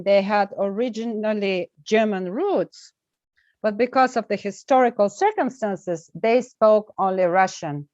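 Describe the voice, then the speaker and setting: Woman, Bulgarian accent, interview guest, earnest, deliberate, dry dull sound. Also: mid-range voice, a little mellow, no echo. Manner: earnest, deliberate, with emphatic pauses. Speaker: guest, well-being coach. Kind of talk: interview conversation.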